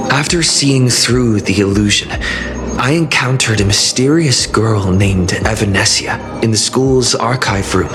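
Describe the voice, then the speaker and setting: A man playing an adventurer who recounts his journey as a game's story narrator, deliberate and measured. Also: medium pitch, lightly acted. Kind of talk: scripted story recap narration. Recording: background music under studio voice.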